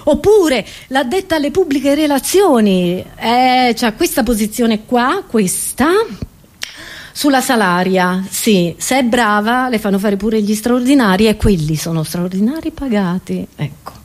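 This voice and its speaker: A woman's voice: fairly high pitch, lively intonation, unhurried. A woman comedian, delivering a satirical monologue in a theatrical, exclamatory way, speaking straight to her listeners.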